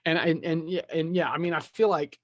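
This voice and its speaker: A man's voice: fairly high pitch, fairly even intonation, brisk, dry recording, muffled recording. Opinionated man rambling in a dry, close recording. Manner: opinionated, rambling, thinks aloud. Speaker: podcast speaker discussing film franchises. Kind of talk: casual chat.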